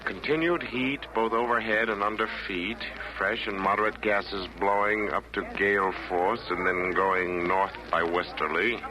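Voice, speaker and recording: An actor playing a chatty, wisecracking announcer reporting from hell in a rhymed 1940s radio comedy. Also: male voice, on the low side, steady tone, unhurried, few pauses, lightly acted. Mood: chatty, jokey. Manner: chatty, wisecracking, mock-newsreading. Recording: noisy old 1940s radio recording.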